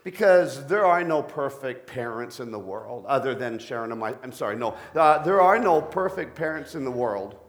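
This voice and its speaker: Middle-aged man, pastor, deep voice, playful jokes, sermon. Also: expressive, moderate pace, hardly any pauses. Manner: playful, joking with the congregation.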